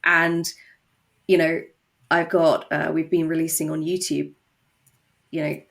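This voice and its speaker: A woman talking conversationally as an interview guest, telling anecdotes with quoted asides and a creaky edge. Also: sits low, little pitch movement, a little slow, fairly bright tone. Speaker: guest, audio drama writer and co-creator.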